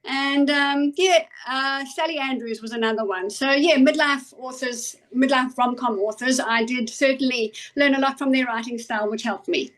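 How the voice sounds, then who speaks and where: A middle-aged South African woman answers interview questions over a video call. Her voice sits a touch high, and she speaks thoughtfully, stopping often to gather her words. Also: a bit bright, poor audio. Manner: thoughtful, with frequent ums and pauses. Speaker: guest author. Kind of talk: conversational interview.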